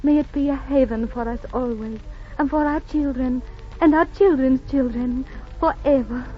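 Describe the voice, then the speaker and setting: Actress as a young rancho wife, high-pitched, devout and tender, 1940s radio drama, muffled vintage sound. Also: female voice, normal speed, music in the background, natural voice. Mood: reverent. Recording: dull vintage radio recording.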